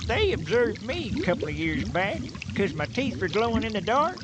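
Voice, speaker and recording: High-pitched, folksy old country codger. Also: male voice, very animated, moderate pace, heavy background noise. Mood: folksy, amused. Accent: rural American. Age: older. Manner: folksy drawl with frequent "eh?". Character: folksy, unflappable old country man, kindly. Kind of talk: comic radio drama acting.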